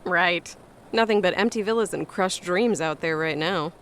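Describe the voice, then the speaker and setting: An actress playing a brisk, matter-of-fact woman resort staffer with dry humour in an audio drama. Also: on the low side, a bit bright, slight background noise, close to an everyday voice.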